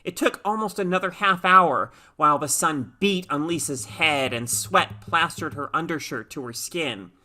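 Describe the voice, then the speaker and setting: A woman reading a short story aloud as an expressive, dramatic narrator. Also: deep voice, normal speed, hardly any pauses, a little hiss or hum. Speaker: story reader.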